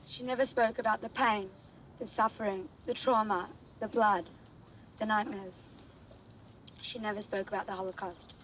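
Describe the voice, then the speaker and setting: A woman's voice: medium pitch, steady tone, normal speed, a bit bright, slight background noise, poor audio. Woman, solemn reading, faint ambience. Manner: solemn, rhetorical. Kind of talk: prepared memorial reading.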